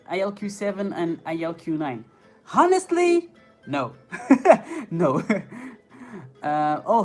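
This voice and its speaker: A man with a French accent, a native French speaker, hosting a live stream where he reads chat questions aloud, hesitating with fillers and laughing often. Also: high-pitched. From France. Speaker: inline skating streamer. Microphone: phone. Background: faint background music.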